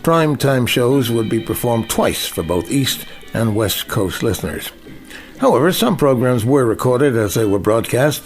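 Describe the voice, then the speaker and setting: An older man, a veteran broadcaster, reading a scripted radio feature in a measured, polished way. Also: mid-range voice.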